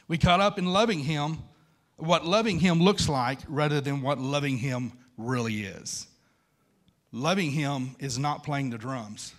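A man's voice: mid-range voice, a bit bright. A man, preaching an informal, conversational sermon and repeating phrases for emphasis. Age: 50s. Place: large church stage at a wooden pulpit.

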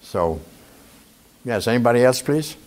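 A man's voice: medium pitch, expressive, unhurried, a little mellow. A man in his seventies, a Holocaust survivor, giving a conversational talk to students and often checking in with them by asking "okay?". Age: 70s. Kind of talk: informal testimony talk to a student audience. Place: indoor museum room.

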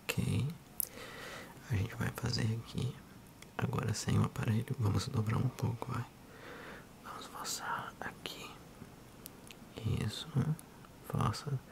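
A man in his thirties with a Brazilian accent, speaking softly and unhurriedly in an ASMR role-play as a physiotherapist addressing the listener. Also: lowish voice, fairly even intonation, gravelly. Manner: soft, unhurried, hushed.

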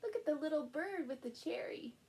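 A middle-aged woman reads a picture book aloud to small children in an expressive, playful way, recorded indoors by a camera a short distance in front of her. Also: sits high, slight background noise. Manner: animated, slow, with playful sound words and exclamations. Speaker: storyteller and channel host. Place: small furnished room indoors.

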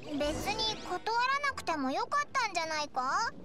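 Squeaky excitable little fairy. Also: female voice, moderate pace. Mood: curious, chatty. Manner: boyish first person, blunt childish speech. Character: small floating fairy companion, childlike, chatty, excitable. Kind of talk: anime-style game acting. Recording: loud music behind. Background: game background music.

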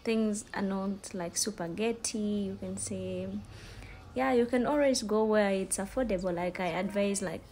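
Young Ugandan woman, casual and halting. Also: mid-range voice, darkish tone. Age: young adult. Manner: informal, halting, repetitive explaining. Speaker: vlogger, student. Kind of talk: casual shopping vlog narration. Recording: store ambience noise, handheld phone. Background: faint ambient noise.

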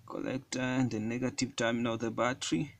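A man giving a hands-on electronics tutorial at a table, explaining each step slowly with frequent fillers. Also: medium pitch, flat delivery. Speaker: electronics hobbyist demonstrating a circuit. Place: indoors at a wooden table.

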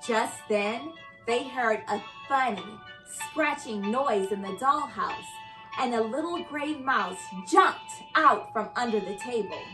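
A young adult woman reading a children's story aloud with expressive, carefully enunciated storytelling delivery. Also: on the high side, low-quality recording. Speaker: host and reader of children's read-aloud show. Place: indoor living room by a fireplace.

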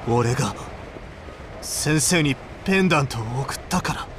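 An actor playing a stern, driven young military squad leader in a Japanese video game. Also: male voice, mid-range voice, animated, a little slow, noisy background, lightly acted. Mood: shaken. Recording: music behind the voice.

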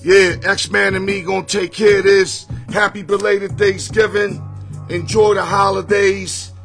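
A hoarse man with a fairly high voice chats casually on a home livestream, shouting out viewers and talking in loose street slang. He sounds close to a phone or webcam, with faint music playing underneath. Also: measured pace. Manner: energetic, greets viewers by name, street slang. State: hoarse, losing his voice. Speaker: sports picks livestream host. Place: home room full of sports memorabilia with a TV. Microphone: phone or webcam at arm's length.

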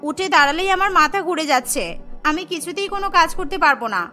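Lady playing tired whiny cartoon young wife, squeaky high and bright. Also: quick pace, faint music behind. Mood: whiny, exhausted. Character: complaining, worn-out young daughters-in-law, pleading.

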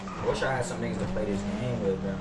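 A young American man streams a game in loose, slangy chat. His voice sits back from the mic in a roomy space, with loud noise around it. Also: lowish voice, fast, distant mic. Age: young adult. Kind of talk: casual in-game role-play chat with slang. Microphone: headset.

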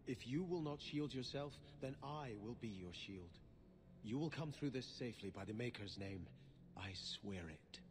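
A man's voice: mid-range voice, animated, measured pace, lightly acted. An actor playing a devout, earnest young nobleman and sworn protector in a video game, speaking solemnly. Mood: earnest pleading.

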